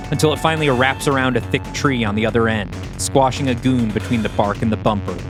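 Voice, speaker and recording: Man narrating an audio drama over music. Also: medium pitch. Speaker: narrator reading stage directions.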